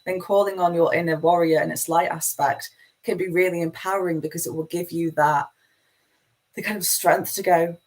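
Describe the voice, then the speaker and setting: A woman with a broad Manchester accent and a low, deep-set voice hosts a live spiritual talk. She meanders through her points in a chatty, self-deprecating way. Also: steady tone, moderate pace, a little mellow, rough recording. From Manchester, England. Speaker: spiritual teacher hosting a live session.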